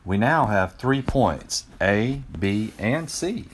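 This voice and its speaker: A man's voice: lowish voice, lively intonation, takes it slowly, slight background noise. A man voicing an eager ancient Greek geometry teacher in a children's storybook, explaining patiently and with emphasis. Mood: pleased, instructive.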